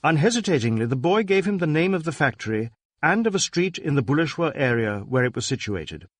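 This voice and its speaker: A man reading a story as a scripted audiobook narrator, in an expressive storytelling manner. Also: a little high, fairly quick, no room sound.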